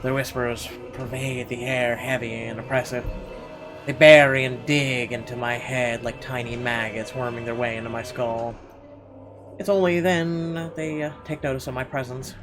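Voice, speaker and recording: A man's voice: medium pitch, steady tone, normal speed. A man hosting a gaming video, reading visual novel narration dramatically and breaking into irreverent, sweary asides. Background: game music.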